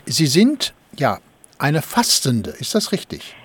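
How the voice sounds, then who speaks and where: A man conducts a conversational radio interview, asking questions and now and then trailing off mid-thought. His voice sits a little high for a man. His intonation swings widely. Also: huge pitch swings, slow, husky. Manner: conversational, probing questions with hesitations.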